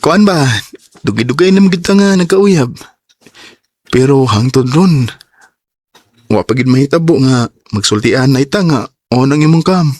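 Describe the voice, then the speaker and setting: Actor playing a husky young lovestruck Cebuano man. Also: mid-range voice, animated, normal speed, pauses often, darkish tone, no room sound, close to an everyday voice. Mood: tender. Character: young lovestruck man, eager, sincere suitor. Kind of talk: Cebuano radio drama acting.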